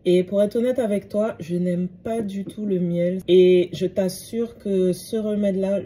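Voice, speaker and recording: A woman's voice: lowish voice, mellow, slight background noise. A woman vlogger casually talking the viewer through a homemade remedy, chatting to them directly like a friend. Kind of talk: casual how-to narration to camera.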